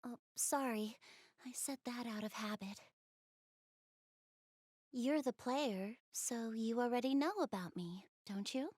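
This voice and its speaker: A woman's voice: a little high, slow pace, long pauses, a little mellow, no room sound, studio quality. A woman voicing a shy, bookish young goddess girl in a video game dub, speaking politely and earnestly with bashful hesitation. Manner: hesitant, bashful, polite, rambling about hobbies. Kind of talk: English video game dub, visual novel dialogue.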